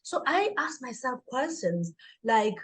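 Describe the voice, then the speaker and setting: A woman's voice: high voice, sing-song, slow pace, fairly bright tone. A middle-aged woman with a southern African accent speaks conversationally as an interview guest, telling personal stories emphatically. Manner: emphatic, acts out her inner dialogue.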